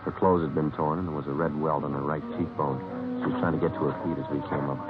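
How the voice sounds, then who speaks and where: An actor playing a deadpan, businesslike police sergeant in a vintage radio crime drama, speaking in terse, clipped sentences. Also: male voice, a bass voice, fast talker, over loud music. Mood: matter-of-fact. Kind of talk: 1950s radio crime drama acting and narration. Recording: muffled phone-like old radio recording.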